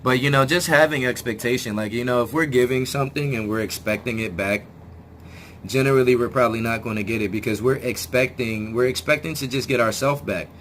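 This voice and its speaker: A man talking straight to his viewers in a rambling self-help vlog, often restarting words and swearing casually, his voice still hoarse as it comes back. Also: mid-range voice, a little fast, a bit bright, a little hiss or hum. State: hoarse, regaining lost voice. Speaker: video channel host.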